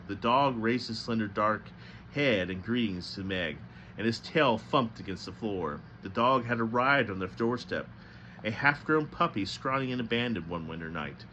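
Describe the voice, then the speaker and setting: A man reading a novel aloud as narrator, with a slightly halting delivery that stumbles now and then. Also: on the low side, bright, forceful tone, slight background noise, poor audio. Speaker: storybook reader.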